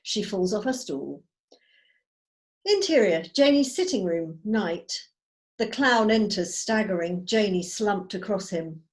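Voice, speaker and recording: A woman's voice: mid-range voice, lively intonation, a little slow. A woman giving scripted narration, reading out stage directions on a video call.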